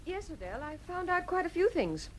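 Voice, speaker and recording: An actress playing a smart, inquisitive detective's assistant in an audio drama, playful and probing. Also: female voice, extremely high pitch, big pitch swings, fairly quick, noisy background. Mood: teasing, curious.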